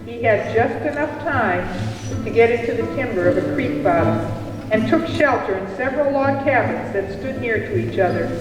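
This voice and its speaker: A narrator telling a scripted story for a puppet show in a measured, theatrical way. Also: mid-range voice, expressive. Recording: echoey hall, picked up from far off. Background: music.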